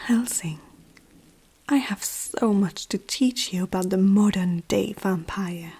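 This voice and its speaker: Woman playing a slow, breathy, seductive vampire noblewoman. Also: mid-range voice. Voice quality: soft, breathy, often near whisper. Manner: slow, teasing, aristocratic and self-assured. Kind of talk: ASMR roleplay addressed to the listener.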